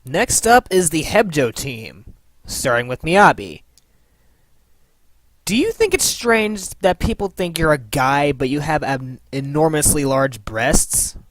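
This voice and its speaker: A woman's voice: low-pitched, sing-song, slow, no echo. A woman hosting a scripted comedy question-and-answer show, reading out questions.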